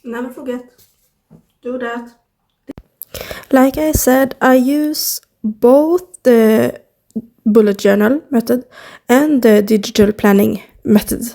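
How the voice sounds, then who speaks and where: A woman's voice: on the high side, steady tone, slow pace, vocal fry, pauses often, dark tone. A young woman from Sweden with a Swedish accent, talking casually to camera in a hesitant way with many fillers, recorded on a device mic with no external microphone. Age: young adult. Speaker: YouTuber. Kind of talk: casual vlog talk to camera.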